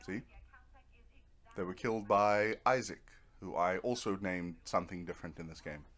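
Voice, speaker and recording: A man's voice: sits low, moderate pace, a bit bright. A man giving casual, rambling commentary over a video game, with a dry, self-deprecating sense of humor. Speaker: video game let's player.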